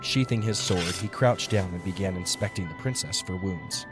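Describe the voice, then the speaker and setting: A man's voice: low voice, moderate pace. A man narrating a dramatic story as a scripted audio drama narrator. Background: music behind the voice.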